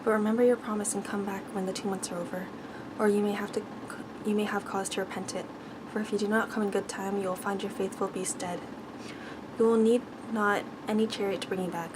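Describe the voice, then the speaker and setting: A woman voicing a gentle, lovesick male beast in a storybook, softly and with doleful earnestness. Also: medium pitch, flat delivery, fairly quick, noisy background, close to an everyday voice. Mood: resigned, solemn.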